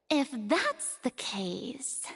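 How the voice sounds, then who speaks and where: A woman's voice: high-pitched, vocal fry, breathy edge, in a large hall, full character voice. A woman playing a proud, theatrical young female god of justice in a video game, hiding a burden. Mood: somber. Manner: theatrical, haughty, dramatic pauses.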